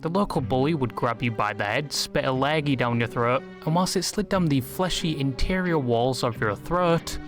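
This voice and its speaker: A man delivering scripted comedic narration with crude, exaggerated storytelling, like a video essayist. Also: medium pitch. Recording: music under the voice.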